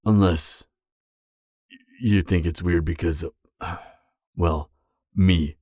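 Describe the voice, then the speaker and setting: A man's voice: fairly low pitch, no echo, clean studio sound. A man playing an awkward, earnest, by-the-book supervillain on a date in an audio drama, speaking in a hesitant, stammering, matter-of-fact way. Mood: sheepish, resigned. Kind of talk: conversational roleplay audio drama.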